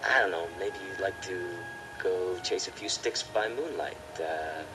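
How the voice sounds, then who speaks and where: A man's voice: sits low, a little slow. A man voicing an earnest young male dog, a shy dreamer in love, in an amateur cartoon dub. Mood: awkward, apologetic. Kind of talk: amateur fandub of an animated film. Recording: poor home recording, music behind.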